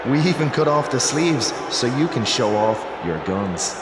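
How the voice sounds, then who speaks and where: A man's voice: medium pitch, animated, moderate pace, close to an everyday voice. A man playing a smug, casual pro wrestler sidekick in a video game cutscene. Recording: echoey hall, loud background noise.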